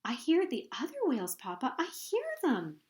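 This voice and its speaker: A woman's voice: sits high, huge pitch swings, moderate pace, a little mellow, voice pushed up. A woman voicing a small, curious young whale child in a storybook, asking and calling out eagerly.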